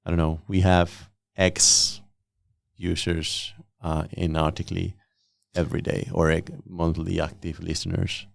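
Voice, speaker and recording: A man hosting a podcast and interviewing guests in a casual, conversational way, with a gravelly voice. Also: sits low, little pitch movement, unhurried, no echo, studio quality.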